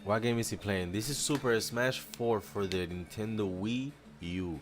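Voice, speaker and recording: A man's voice: on the low side, moderate pace. A man from the Dominican Republic chatting casually on a live stream, thanking viewers in a slangy, laid-back way. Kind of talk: casual live chat reacting to a video.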